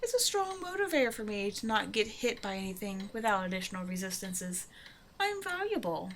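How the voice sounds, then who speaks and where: A woman's voice: fairly high pitch, slight background noise. A woman playing a flirtatious, self-assured supervillain in an intimate roleplay audio drama, talking casually and teasingly to the listener. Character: flirtatious female supervillain, a demanding but generous tailor's customer.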